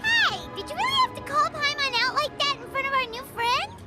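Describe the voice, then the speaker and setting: A woman's voice: very high voice, sing-song, fairly quick. A woman voicing a tiny, bubbly female fairy-like companion in a video game, who talks about herself in the third person. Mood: chirpy. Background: game music.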